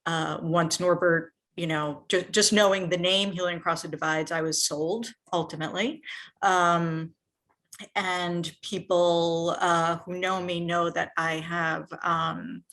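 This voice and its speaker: A woman from an Israeli American background speaks at a very unhurried pace in a slightly low register. She is earnest and halting, often stopping to find the next phrase, as she offers personal reflections at an online gathering. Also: flat delivery, fairly bright tone. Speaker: volunteer speaker at a nonprofit's online celebration. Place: online video event.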